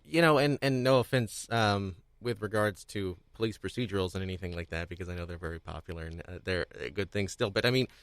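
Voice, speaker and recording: A man with a low voice chats casually as a podcast co-host. He rambles, hedging and rephrasing midway through his sentences. The sound is dry, with hardly any room in it. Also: a bit bright, no room sound. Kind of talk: casual discussion of storytelling.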